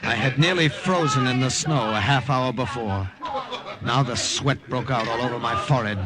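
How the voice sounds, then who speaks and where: A man's voice: medium pitch, moderate pace, close to an everyday voice, low-quality recording. A man playing an earnest, desperate wartime partisan agent in an old radio drama. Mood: tense, grave. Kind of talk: 1940s-50s radio drama acting and narration. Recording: hissy old radio recording.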